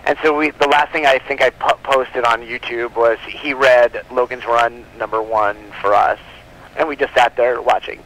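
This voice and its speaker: A man's voice: mid-range voice, fairly even intonation, normal speed, a bit bright. Chatty man on a phone interview. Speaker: guest, comic book publisher. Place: on a telephone line. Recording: live telephone call on radio show.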